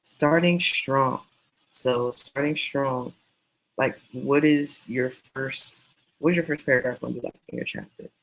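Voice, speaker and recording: A man teaching writing in a casual, meandering, encouraging way, his voice going hoarse. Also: medium pitch, unhurried, a bit bright, a little hiss or hum, poor audio. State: losing voice, hoarse. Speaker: self-published author teaching writing.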